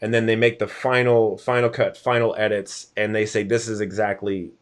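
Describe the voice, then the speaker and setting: Low-voiced male interviewer, tentative phrasing. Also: little pitch movement, moderate pace. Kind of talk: conversational live interview.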